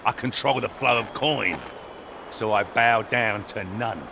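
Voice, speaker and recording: An actor playing a brash, foul-mouthed pirate kingpin in a video game, boastful, crude and commanding. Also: male voice, fairly low pitch, unhurried, gravelly, noisy background. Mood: boastful, scheming. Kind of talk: video game character acting.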